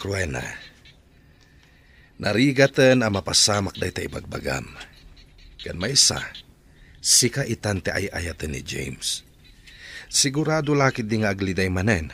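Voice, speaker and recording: An earnest Filipino man with an Ilocano accent, counsels in a lowish voice. It is radio drama, with faint noise on the track. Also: lively intonation, normal speed, long pauses, fairly bright tone. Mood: earnest, consoling.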